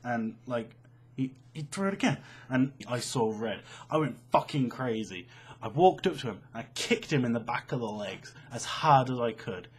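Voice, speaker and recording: A young British man tells a story in a casual, chatty way. His pitch leaps around a lot and his voice has a slightly husky grain. He swears freely and pokes fun at himself as he goes. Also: normal speed. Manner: animated, sweary, self-mocking. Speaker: online storyteller.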